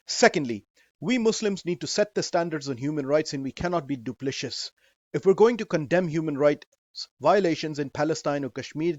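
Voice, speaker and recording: A man in his forties with a Pakistani accent, presenting a scripted talk in an earnest, measured way. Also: fairly high pitch, darkish tone, no room sound. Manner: earnest, measured, with Arabic phrases. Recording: dry, slightly dull recording.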